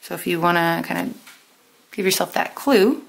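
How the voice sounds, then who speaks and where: A woman teaching a step-by-step lesson in a deliberate, instructional way, pausing and stressing key terms. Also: fairly low pitch, vocal fry. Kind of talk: step-by-step lesson with pauses for note-taking.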